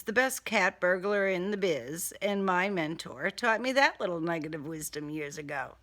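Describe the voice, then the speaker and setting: A woman's voice: medium pitch, fairly bright tone. A woman reading a first-person crime thriller audiobook in a dramatic, wry manner. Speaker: author reading own novel excerpt.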